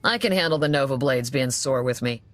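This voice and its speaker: A woman's voice: rumbling low, brisk, no room sound. A woman playing a wry, unfazed cantina keeper in a video game, sardonic and nonchalant. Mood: resigned. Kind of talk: video game character acting.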